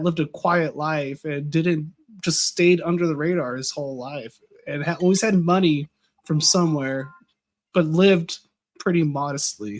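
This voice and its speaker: An American man in casual conversation, rambling with fillers and restarts, saying he is losing his voice a little. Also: a little high. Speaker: podcast guest.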